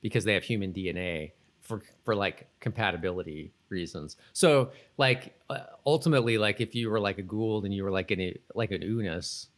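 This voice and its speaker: A man co-hosting a podcast, casually recapping a TV episode in a rambling, halting way and often repeating himself. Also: lowish voice. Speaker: podcast co-host.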